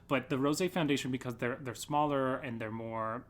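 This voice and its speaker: Young guy being interviewed on a podcast, upbeat keen talker, normal pitch. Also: fairly even intonation, normal speed. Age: young adult. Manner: enthusiastic, agreeable. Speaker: podcast guest, theatre artist. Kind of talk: casual interview chat.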